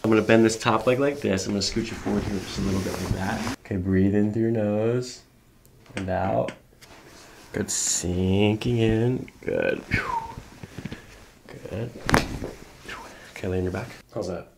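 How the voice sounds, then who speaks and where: A man working as a chiropractor, gently and reassuringly talking a patient through an ASMR exam step by step. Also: fairly low pitch, fairly even intonation, unhurried, gravelly, long pauses, background noise. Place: small carpeted office treatment room. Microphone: microphone on a boom stand near the table.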